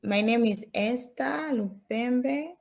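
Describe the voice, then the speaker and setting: Slow, halting young Tanzanian woman presenting. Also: on the high side, creaky, a little mellow. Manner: halting, many fillers and restarts. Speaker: student presenter. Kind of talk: slide presentation.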